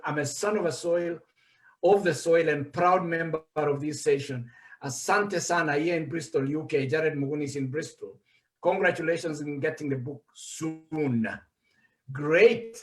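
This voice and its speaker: Guy hosting a live session, reading out comments, laughs a lot, echoey room. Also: a little high. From Kenya. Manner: animated, reads out comments, laughs easily. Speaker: host. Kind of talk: casual livestream chat, reading viewer comments.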